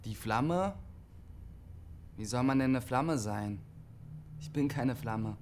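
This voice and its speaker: An actor playing a lonely, guarded young CB radio operator, speaking haltingly and repeating himself in a naturalistic radio drama. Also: male voice, mid-range voice, background noise, close to an everyday voice. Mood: defensive, agitated. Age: young adult.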